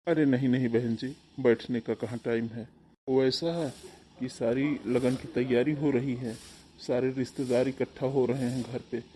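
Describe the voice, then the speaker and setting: A man's voice: mid-range voice, fairly even intonation, moderate pace, mellow, slight background noise, natural voice. A man voicing a poor, humble father of the bride in a cartoon, polite and pleading. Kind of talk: Hindi cartoon voice acting.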